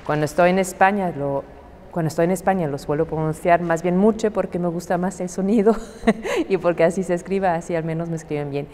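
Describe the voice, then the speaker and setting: A woman's voice: on the low side, fairly even intonation, a little fast, darkish tone, in a large hall. A woman, a theatre translator answering in a chatty, self-correcting way. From Germany. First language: German. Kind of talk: interview answer.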